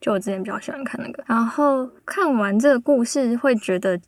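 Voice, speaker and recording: A woman's voice: fairly high pitch, a little fast, no echo. Casual Taiwanese woman, dry close sound. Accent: Taiwanese Mandarin. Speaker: guest scriptwriter. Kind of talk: casual chat.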